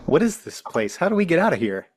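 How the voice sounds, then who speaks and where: A man playing a bewildered, frightened office newcomer in a script table read, halting and pleading. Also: a little high, big pitch swings, slight background noise. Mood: panicked, agitated.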